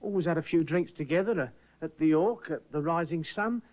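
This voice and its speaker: Frightened little ship's cook, played by a man, stammering, deferential, high voice, muffled broadcast. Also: a little fast, natural voice. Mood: nervous. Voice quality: deferential, stammering, keeps saying sir. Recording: muffled old radio broadcast.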